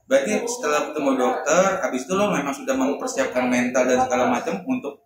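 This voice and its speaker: A man's voice: medium pitch, fast, over music. Joking Jakarta man in an echoey room. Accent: Jakarta Indonesian slang. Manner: joking, laughs often, repeats words. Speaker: host. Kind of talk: casual chat. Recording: echoey room, mic far off, with a crowd behind.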